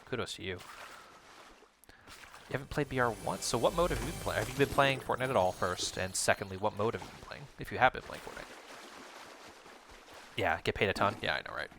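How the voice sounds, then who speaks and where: A man with a low, gravelly voice streams a video game and chats with his viewers as he plays. He explains things calmly, like a patient teacher, while his pitch moves around a lot. A clear layer of background noise runs under his voice. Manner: calm, explanatory, educator-like delivery. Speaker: streamer playing a battle royale game. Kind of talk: casual livestream chat while gaming.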